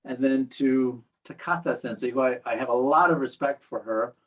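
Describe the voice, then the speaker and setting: A man hosting an interview, polite and measured, with occasional fillers. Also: mid-range voice. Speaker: interview host.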